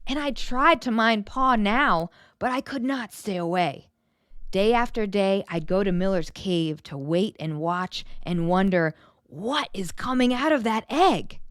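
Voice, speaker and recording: A woman narrating a children's picture book in an expressive read-aloud storytelling style. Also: mid-range voice, a little slow, smooth, dry recording, clean studio sound.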